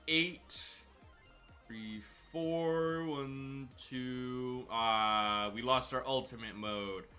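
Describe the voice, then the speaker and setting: A man streaming a game, chatty and excitable, sounding a bit stuffy from a cold. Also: medium pitch. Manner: chatty, excitable over wins. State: stuffy, slightly sick. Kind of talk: gameplay commentary.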